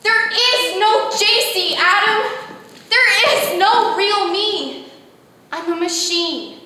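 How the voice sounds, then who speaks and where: A woman's voice: very high voice, slow, pushed and bright, full character voice. A woman voicing an articulate, pleading android woman built to look nineteen, heard distant with a long echoey reverb. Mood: earnest. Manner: articulate, pleading, increasingly distraught.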